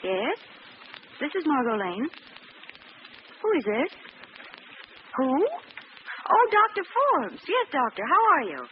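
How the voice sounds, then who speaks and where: An actress playing a sharp, cautious young sleuth's sidekick in an old-time radio mystery. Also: female voice, high voice, sing-song, slow, pauses often, full character voice. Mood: apprehensive. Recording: muffled, noisy old radio recording.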